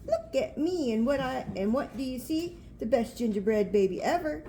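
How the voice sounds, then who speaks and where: A cocky little gingerbread boy from a storybook, read aloud by a woman, boastful and taunting in a sing-song way. The voice is soft-edged and mellow, with some room noise behind it. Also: high voice, expressive, normal speed. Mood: gleeful, showing off.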